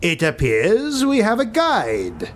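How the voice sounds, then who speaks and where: A man voicing a cruel, imperious highborn warlord in a fantasy audiobook, growling and commanding. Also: wildly swooping pitch, takes it slowly, slight background noise, exaggerated voice. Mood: coldly pleased.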